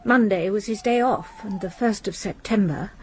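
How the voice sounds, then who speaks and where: An actress playing a grieving middle-aged widow in a radio drama, quiet and composed. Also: female voice, mid-range voice, normal speed, a little hiss or hum, natural voice, low-quality recording. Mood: subdued.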